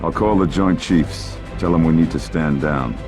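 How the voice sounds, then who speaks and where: An actor playing a stern older military commander in a video game, terse and authoritative, with music behind him. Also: male voice, rumbling low, normal speed. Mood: commanding. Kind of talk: video game cutscene acting.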